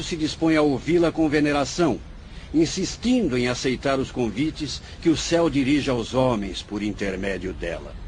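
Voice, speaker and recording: An actor playing a grave, fatherly spirit asylum director in a radio drama, speaking in a solemn, oratorical, measured way. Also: medium pitch, a little mellow, a little hiss or hum, natural voice.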